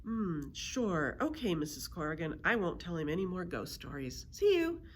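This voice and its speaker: A woman's voice: on the low side, big pitch swings, moderate pace, a little hiss or hum. A woman voicing a timid, earnest young boy in a storybook, in a pleading, urgent manner. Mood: feigned innocence.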